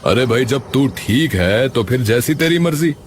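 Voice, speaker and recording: A man's voice: medium pitch, animated, moderate pace, slight background noise, close to an everyday voice. A man playing a bossy, hearty middle-aged contractor in a cartoon story.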